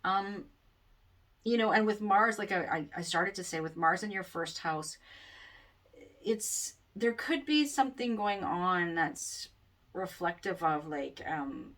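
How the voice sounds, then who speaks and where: A woman astrologer giving a casual forecast, rambling with many fillers, in a loud voice picked up by the camera mic. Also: on the low side, slow. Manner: rambling, many ums and you-knows. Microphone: camera mic, microphone not plugged in. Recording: distant sound from unplugged mic.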